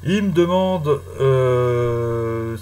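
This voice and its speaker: A man giving a casual screen-recorded software tutorial, talking slowly with many hesitant fillers. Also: mid-range voice, animated, smooth. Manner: hesitant, many "euh" fillers, chatty and apologetic. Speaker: tutorial host.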